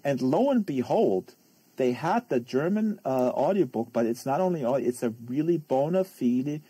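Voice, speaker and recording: A man hosting a casual livestream, chatting in a halting, rambling, conversational way. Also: mid-range voice, lively intonation, dark tone, slightly rough, no echo. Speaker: host of a live book-reading stream.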